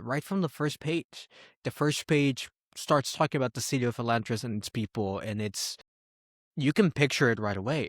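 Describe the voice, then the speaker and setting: A man with an average-pitched voice speaks informally as a co-host in a book discussion. His delivery is conversational and unscripted. The recording is dry, without room echo. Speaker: podcast co-host reviewing books. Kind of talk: casual discussion.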